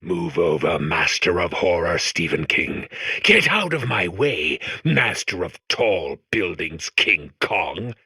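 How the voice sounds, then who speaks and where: A man voicing a grandiose horror-storytelling machine in a video game, raspy, pompous and melodramatic. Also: deep voice, sing-song, takes it slowly, no echo, heavily acted. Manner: melodramatic, pompous, swinging from hush to shriek. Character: theatrical horror-storytelling machine core, grandiose and self-important. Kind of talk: comedic video game horror-host acting.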